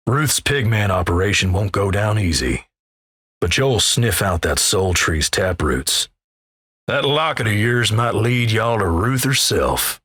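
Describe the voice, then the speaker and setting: A man playing a gruff, folksy, wry Old West narrator in a video game, with a gravelly American Western drawl and measured, ominous storytelling. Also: on the low side, a bit bright, no echo, clean studio sound, exaggerated voice. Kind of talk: dramatic second-person scripted game narration.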